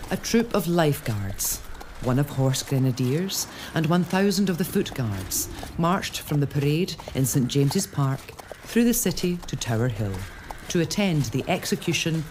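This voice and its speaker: A male narrator who tells the story in a lively, fairly high voice with a wide, animated pitch. Background ambience is clearly heard behind him. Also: background noise. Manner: measured, expressive storytelling. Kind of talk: scripted period narration.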